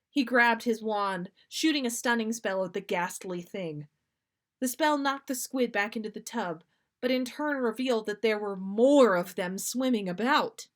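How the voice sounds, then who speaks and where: A woman narrating a story aloud as a podcast host, with dramatic, playful expression. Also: mid-range voice. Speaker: podcast host reading listener stories.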